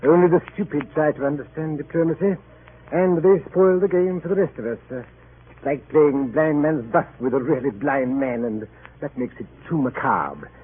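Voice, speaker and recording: An actor playing a pompous, blasé British consul in 1940s radio drama, with an affected, condescending drawl and a British accent. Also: male voice, a little high, lively intonation, moderate pace. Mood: airy, condescending. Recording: old narrowband radio recording with clear noise.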